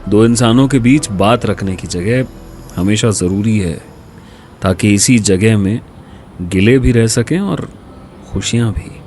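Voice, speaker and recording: A man's voice: lowish voice, takes it slowly, a little mellow. A frank, chummy male college dean. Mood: concerned, earnest. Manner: informal, chummy, earnest. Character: genial, frank male college dean and loyal old friend. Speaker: actor in an audio drama. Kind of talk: Hindi radio drama acting.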